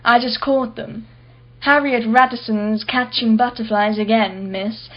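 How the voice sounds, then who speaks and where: Haughty, high-voiced art collector. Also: female voice, fairly even intonation, measured pace, muffled recording. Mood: nostalgic, proud. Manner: arch, articulate, sardonic. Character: haughty, wealthy, privileged female art collector, witty and defensive. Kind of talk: radio play acting.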